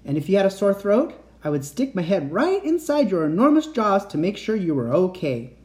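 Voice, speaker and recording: A man voicing a gentle mother reassuring her child at bedtime. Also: high voice, big pitch swings, normal speed. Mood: tender. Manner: soothing, tender reassurance.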